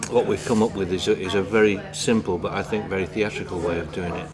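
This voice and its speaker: A man's voice: sits low, steady tone, fast talker, crowd noise. A man talking in an interview, hesitant and often repeating his words as he explains. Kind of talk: interview talk.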